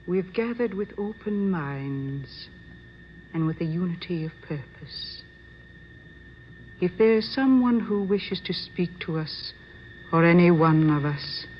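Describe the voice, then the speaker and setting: An actress playing an imperious, solemn middle-aged séance medium in a radio drama, with formal, commanding delivery. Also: female voice, sits low. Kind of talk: old-time radio drama acting. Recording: dull lo-fi radio recording, faint hiss.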